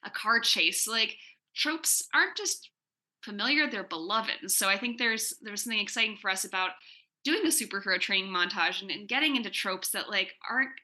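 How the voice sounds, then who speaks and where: A woman's voice: mid-range voice, lively intonation, a little fast, bright, forceful tone. A woman chatting casually as an interview guest, enthusiastic and rambling, peppering her talk with "like". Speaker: comics writer and TV writer-producer, interview guest. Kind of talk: casual Q&A chat.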